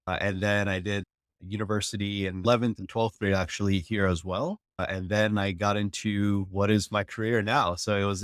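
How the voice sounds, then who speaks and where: A man's voice: fairly low pitch, no echo, clean studio sound. A man in his thirties from New York, telling personal stories as an interview guest in an earnest, reflective way. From New York, United States. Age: 30s. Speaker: interview guest, technology executive.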